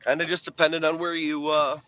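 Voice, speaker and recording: A young man with a slightly raspy voice, chatting casually on a live stream while plowing snow and answering viewers' questions. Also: a little high, little pitch movement, fairly quick, pushed and bright, dry recording. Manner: laid-back, rambling, reads chat aloud. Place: inside a truck while plowing. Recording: dull lo-fi stream audio with faint engine noise.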